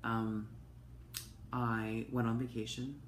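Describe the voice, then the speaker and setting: A woman, hoarse from a cold, giving a chatty, open personal update to camera with plenty of ums and asides. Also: a bass voice, level tone, slow. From Canada. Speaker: vlogger. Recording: echoey room with faint noise.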